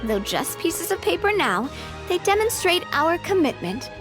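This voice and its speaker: A woman playing a spirited young female leader in a video game, earnest and breezy, with expressive delivery. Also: very high-pitched, a little slow, over music, heavily acted.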